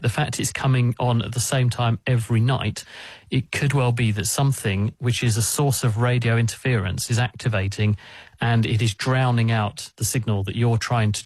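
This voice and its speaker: A man answering listener questions on a radio call-in show, explaining things in a calm, methodical way. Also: medium pitch, no echo. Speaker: science expert answering callers.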